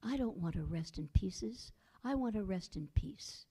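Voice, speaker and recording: A woman voicing a headless male skeleton ghost with a low, slow, drawn-out spooky voice, using puns and speaking solemnly. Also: animated, warm tone, husky. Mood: mournful.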